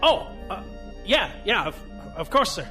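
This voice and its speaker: Excitable, piercing, high-voiced young lieutenant. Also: male voice, measured pace, exaggerated voice. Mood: flustered. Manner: urgent, informal, easily flustered. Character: excitable, youthful male space lieutenant, eager sidekick. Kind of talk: sci-fi audio drama acting. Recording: reverberant hall, faint music.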